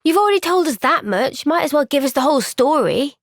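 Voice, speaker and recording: A gentle young soldier girl. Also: high-pitched, lively intonation, a little fast, no echo, studio quality. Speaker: game character. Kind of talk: game cutscene voice acting. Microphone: close studio mic.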